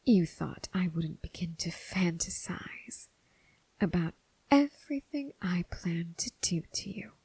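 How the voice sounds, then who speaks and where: A woman playing a seductive, menacing crossroads demon who toys with her prey, in a breathy, whispered ASMR roleplay with slow, teasing, formal phrasing. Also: on the low side, expressive, dark tone, no echo, exaggerated voice. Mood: irritated, threatening.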